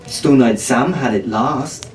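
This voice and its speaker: A man's voice: mid-range voice, slow pace, far from the mic, close to an everyday voice. A man voicing a knowledgeable, strong-willed teenage tavern girl in a storybook, telling pirate lore in an earnest, firm way. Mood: earnest, ominous. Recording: echoey room from off-mic, faint noise.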